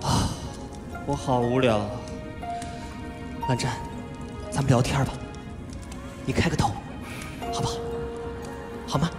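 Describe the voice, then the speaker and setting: A man's voice: medium pitch, fairly even intonation, close to an everyday voice. Man acting playful whiny young swordsman, echoey hall. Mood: bored, whiny. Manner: whiny, drawn-out, coaxing. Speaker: voice actor. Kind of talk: live audio drama stage performance. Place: large theatre stage, indoors. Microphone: handheld microphone. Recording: echoey large hall, music behind.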